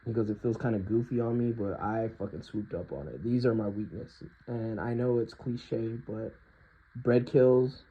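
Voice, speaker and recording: A hoarse man talking informally and with strong opinions in an unscripted collector's review, often clearing his throat. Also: on the low side, moderate pace, muffled recording. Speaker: hoodie collector reviewing products. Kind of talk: casual unscripted compare-and-contrast review.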